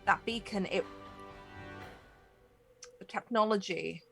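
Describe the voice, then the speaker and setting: A woman playing an exhausted Vulcan woman captain in a sci-fi tabletop game, grave and guarded, speaking in measured, halting, clipped phrases. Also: mid-range voice, gravelly, soft background music. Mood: grave, weary.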